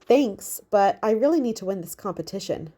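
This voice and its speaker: A woman voicing a spunky, ambitious young girl who talks briskly and impatiently. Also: mid-range voice, lively intonation, dark tone, close to an everyday voice. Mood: determined. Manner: brisk, impatient, self-assured.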